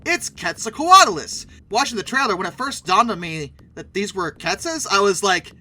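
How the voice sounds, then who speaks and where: A man's voice: high-pitched, animated, moderate pace, pushed and bright. A man giving wry, sarcastic film commentary as the host of a video channel, leaning emphatically on words.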